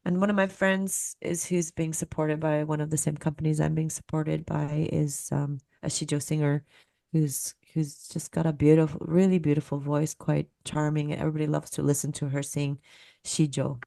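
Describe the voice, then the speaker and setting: A woman's voice: sits low, little pitch movement, moderate pace, gravelly, warm tone, no echo, studio quality. A woman speaking as a guest musicologist on a video call, explaining thoughtfully and searching for words as she goes.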